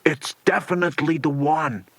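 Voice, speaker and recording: A man's voice: fairly high pitch, moderate pace, a bit bright, breathy edge, no echo. Man acting a nervous, stammering henchman. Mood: nervous, deferential. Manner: stammering, hesitant. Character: gruff, nervous, dim henchman of a crime boss. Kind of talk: children's audiobook character voice.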